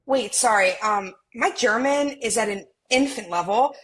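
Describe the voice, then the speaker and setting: A woman's voice: fairly high pitch, moderate pace, fairly bright tone, lightly acted. A woman playing a well-meaning, naive millennial American twin in a comedic script read. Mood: oblivious.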